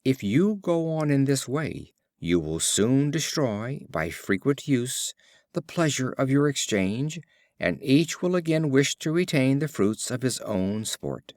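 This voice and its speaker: A man voicing a sensible neighbor who gives measured, cautionary advice in a storybook fable. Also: medium pitch, very animated, a little mellow, dry recording, studio quality.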